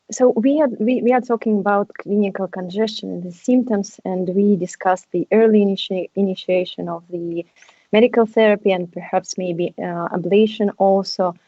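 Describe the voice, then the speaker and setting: Russian-native lady moderator, halting, mellow voice, dry muffled call. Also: mid-range voice, fairly even intonation, no room sound. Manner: hesitant, searching for words. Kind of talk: panel discussion.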